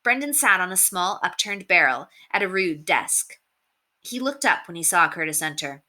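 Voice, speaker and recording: A woman narrating a novel aloud in an expressive storybook reading style. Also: fairly low pitch, moderate pace.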